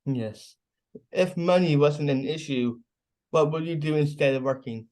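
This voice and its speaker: A man's voice: on the high side, a little slow, darkish tone. An American man working as a podcast host, putting interview questions to a guest. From North Carolina, United States.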